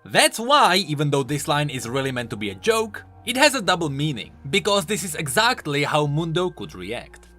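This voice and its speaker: Very animated, bright-voiced man narrating. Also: fairly high pitch, normal speed, few pauses. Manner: emphatic, swooping delivery. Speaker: host of a game lore video channel. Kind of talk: scripted commentary narration. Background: background music.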